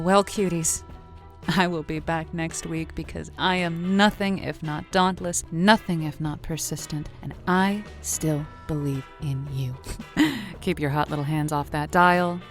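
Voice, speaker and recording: A woman acting as a dauntless, flirty radio matchmaker with a chatty, sympathetic way of talking. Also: sits low. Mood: sympathetic, encouraging. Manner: sympathetic, chatty, addresses listeners with pet names. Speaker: late-night call-in radio host. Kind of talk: conversational radio drama acting.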